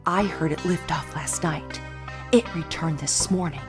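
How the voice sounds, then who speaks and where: A woman playing a wary captive guest in an audio drama, speaking in a hushed, urgent, cautious way. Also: sits low, unhurried. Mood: hushed warning. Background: prominent music.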